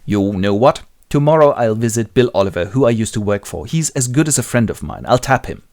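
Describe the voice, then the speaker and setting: A man's voice: mid-range voice, expressive, a little fast, darkish tone, lightly acted. Man acting a frustrated, defiant grown-up son. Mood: resolved. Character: Biff, frustrated, defiant son in his mid-thirties.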